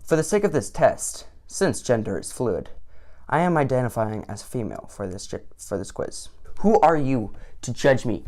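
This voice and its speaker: A teenage boy giving sarcastic commentary on an online quiz, with mock-indignant outbursts. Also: mid-range voice. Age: late teens. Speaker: vlogger.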